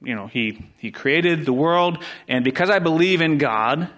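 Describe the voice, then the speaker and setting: A man's voice: a little high, expressive, a little slow, fairly bright tone. A middle-aged man from Wisconsin preaching a Sunday sermon in a church, with a conversational, rambling delivery full of restarts. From Wisconsin, USA. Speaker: pastor. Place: church sanctuary.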